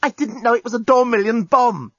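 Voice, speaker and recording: A man's voice: fairly high pitch, very animated, fairly quick, a bit bright, husky, dry recording, muffled recording, voice pushed up, heavily acted. A man voicing a flustered, apologetic male alien journalist in an audiobook, earnest and given to stammering. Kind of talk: storybook audiobook character voice.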